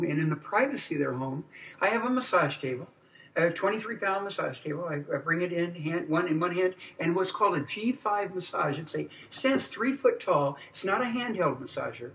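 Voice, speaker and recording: High-voiced upbeat American man of sixty. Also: lively intonation, normal speed. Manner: upbeat, enthusiastic, chatty. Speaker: interview guest, mobile chiropractor. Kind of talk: casual radio interview. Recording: distant, muffled radio capture.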